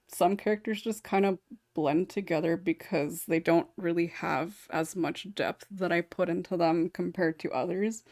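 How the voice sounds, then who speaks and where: A woman's voice: lowish voice, gravelly, a little mellow, no echo, studio quality. A woman hosting a casual panel discussion, talking in a chatty, rambling way with frequent small chuckles. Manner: rambling, chatty, often chuckling as she talks. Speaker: podcast host and script writer.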